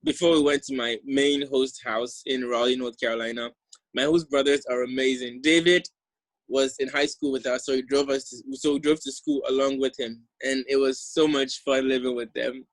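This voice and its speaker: A young man from Ghana with a Ghanaian accent softened toward American, giving a friendly, enthusiastic talk over slides. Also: medium pitch, fairly even intonation, normal speed, dry recording, rough recording. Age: young adult. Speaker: exchange alumnus presenter. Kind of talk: casual presentation over slides.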